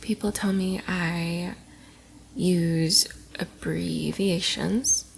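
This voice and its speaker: A woman playing a tipsy, playful college girl in an intimate ASMR roleplay, rambling and teasing affectionately, often soft and whispery. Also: on the low side, steady tone, extremely slow, vocal fry, slight background noise, exaggerated voice. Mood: tipsy, amused.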